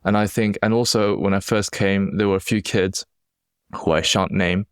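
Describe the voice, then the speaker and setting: A man's voice: deep voice, fairly even intonation, normal speed, smooth, no echo, clean studio sound. A young man from China with a Chinese-accented English touched by British speech, hosting a solo podcast and telling his story in an earnest, reflective way with frequent restarts. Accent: Chinese-accented English with British influence. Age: young adult. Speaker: podcast host.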